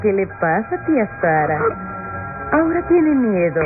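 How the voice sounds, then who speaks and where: An actress playing a ruthless, half-mad young murderess in a radionovela, menacing and mocking, in melodramatic style. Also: female voice, mid-range voice, sing-song, a little slow. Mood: gloating, menacing. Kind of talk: classic Latin American radionovela acting. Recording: tinny old radio sound, loud music behind.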